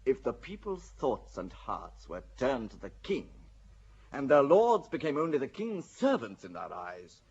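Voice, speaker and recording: Male actor as haughty English earl, husky, animated delivery, lo-fi radio play sound. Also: medium pitch, a little slow, exaggerated voice. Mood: indignant. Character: proud English feudal earl, scheming.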